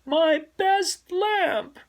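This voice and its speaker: Guy doing a mama bear from a kids story, super high voice, stretched out and dismayed. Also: heavily acted. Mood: shocked dismay. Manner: high, drawn-out dismayed delivery. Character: Mama Bear, shocked motherly adult female bear.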